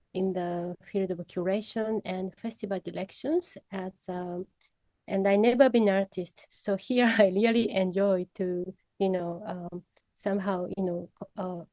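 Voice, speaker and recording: A woman's voice: mid-range voice, dark tone, no echo. A woman curator and art producer introducing herself casually, with many "you know" fillers. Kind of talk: casual self-introduction at an online briefing.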